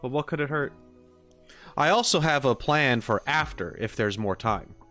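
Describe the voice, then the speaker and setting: A man with a mid-range voice chats casually as a live streamer. He rambles in an easygoing way, thinking out loud as he goes. Music plays behind him. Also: medium pitch, expressive, normal speed. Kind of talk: casual livestream chat. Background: background music.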